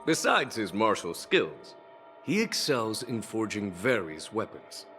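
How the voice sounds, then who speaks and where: A man playing a genial, calm, welcoming general in an anime game. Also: fairly low pitch, a little slow. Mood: formal. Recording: loud music behind dialogue.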